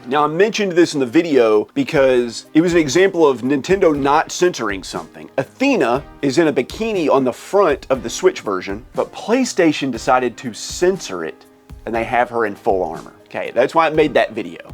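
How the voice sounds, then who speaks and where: A man hosting a gaming vlog, sick with a cold and losing his voice, ranting in an exasperated, animated way from his game room. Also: mid-range voice. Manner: exasperated, animated complaining with laughs. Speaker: gaming channel host. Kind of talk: casual vlog rant.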